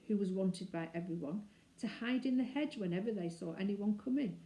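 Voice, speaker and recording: Mellow woman reading a storybook in echoey room. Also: medium pitch. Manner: animated, dramatizes dialogue with shifting emphasis. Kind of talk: expressive children's storybook reading. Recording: roomy, echoing indoor sound.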